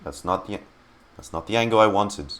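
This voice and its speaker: A man doing casual, expressive live game commentary, informally muttering to himself with a creaky voice. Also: on the low side, normal speed. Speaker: streamer reacting to a game. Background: game music.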